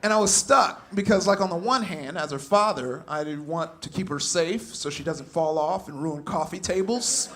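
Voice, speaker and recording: A young man from California doing a stand-up comedy set on stage, animated, laughing through his punchlines and acting out shouted quotes. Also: fairly high pitch, moderate pace, few pauses, slight background noise. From Compton, California. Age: young adult. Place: comedy club stage with a live audience.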